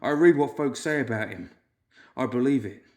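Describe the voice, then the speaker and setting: Man voicing a whimpering frightened clerk, high strained voice, storybook reading. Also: animated, moderate pace, a little mellow, close to an everyday voice. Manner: whimpering, wailing. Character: frightened nervous male clerk.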